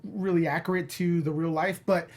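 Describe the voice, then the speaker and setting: A man with a clearly high-pitched voice talks as a panelist on a movie show. His delivery is informal, conversational opinion-sharing. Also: steady tone, normal speed, darkish tone. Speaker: panelist on a film discussion show. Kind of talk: casual opinion chat.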